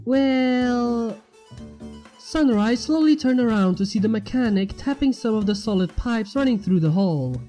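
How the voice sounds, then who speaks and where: A woman's voice: medium pitch, lively intonation, brisk, hardly any pauses, dark tone, faint music behind. A woman reading scripted fiction aloud as the narrator.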